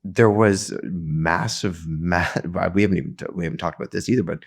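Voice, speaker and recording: A gravelly deep-voiced male podcast host. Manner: halting, rambling restarts. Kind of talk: casual conversation.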